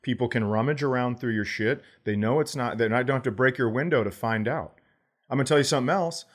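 An American man talks as a podcast host in a loose, comedic way. His voice sits at a middle pitch, and he argues his points playfully with casual swearing. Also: fairly quick.

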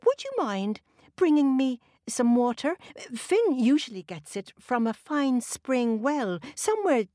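A woman's voice: mid-range voice, a little mellow, dry recording, clean studio sound, pitched above the performer's own voice. A woman voicing a clever, sweet-talking housewife in a told folktale, coaxing and falsely polite.

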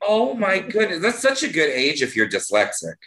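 A man co-hosting a casual chat show, playful and animated with big swings in his voice. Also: sits high, moderate pace. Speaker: podcast co-host. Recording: roomy echo.

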